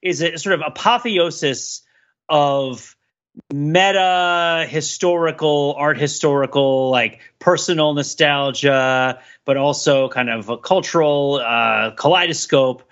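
A man's voice: fairly high pitch, takes it slowly, fairly bright tone, muffled recording. A man co-hosting a podcast in casual but intellectual chat, speaking in wordy, analytical phrases. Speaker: podcast host.

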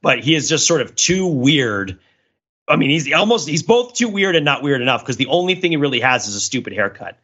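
A man hosting a podcast in casual chat, rambling through explanations in an animated, chuckling way. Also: fairly high pitch, a little fast, breathy edge. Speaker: podcast host. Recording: dull, lo-fi audio.

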